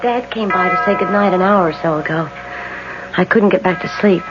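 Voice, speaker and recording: An actress playing a tired, listless adult sister in an old-time radio drama, speaking in a subdued way. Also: female voice, on the low side, fairly quick, loud music behind the voice, lightly acted. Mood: weary. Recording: dull, noisy old radio recording.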